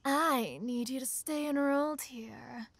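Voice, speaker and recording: Woman playing a slow-talking, teasing college bully girl. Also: sits high, dry recording, full character voice. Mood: bossy, teasing. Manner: slow, taunting, breathy teasing delivery. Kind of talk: ASMR roleplay.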